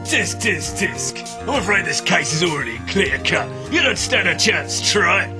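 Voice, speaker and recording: A theatrical Cockney prosecutor played by a man with a high, swooping voice over music. He is smug and falsely polite, savouring every taunt in broad comedy style. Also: sing-song, a little slow. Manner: taunting, mock-polite, tutting. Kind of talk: comedy sketch acting.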